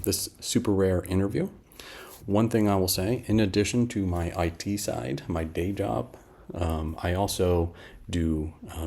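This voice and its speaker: A man in his fifties narrating a casual software demo in an informal, explanatory way with asides and a husky voice. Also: low voice. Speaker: app developer presenting a demo.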